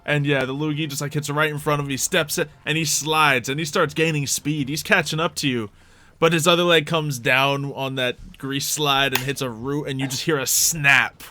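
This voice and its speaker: Man narrating a game over clear noise. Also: a little high, fairly even intonation, normal speed, sharp tone. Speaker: game master. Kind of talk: improvised tabletop game narration, casual.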